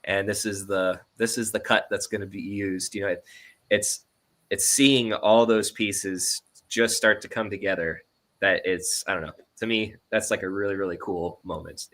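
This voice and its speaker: Male interview guest, 30s: crisp bright tone, lowish pitch, unpretentious and earnest delivery. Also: fairly even intonation, normal speed. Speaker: audio drama producer and editor, interview guest. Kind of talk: casual interview chat.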